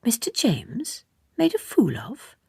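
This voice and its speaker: A woman's voice: on the high side, huge pitch swings, takes it slowly, vocal fry, darkish tone, husky, dry recording, clean studio sound, pitched above the performer's own voice, full character voice. A woman reading an audiobook, voicing a composed, guarded young woman who is formal, restrained and politely challenging. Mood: guarded, puzzled. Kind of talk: audiobook character dialogue.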